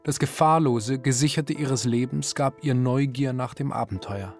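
An actor as the composed, serious narrator of a literary German radio drama. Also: male voice, mid-range voice, darkish tone, faint music behind, close to an everyday voice. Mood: analytical. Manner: measured, serious. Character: composed literary narrator observing a troubled wife.

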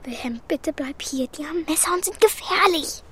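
A woman's voice: high voice, animated, normal speed, a bit bright, slight background noise. A female performer playing a bold, stubborn, cheeky orphan girl in a children's radio play, blunt and bossy. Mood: frightened. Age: child figure.

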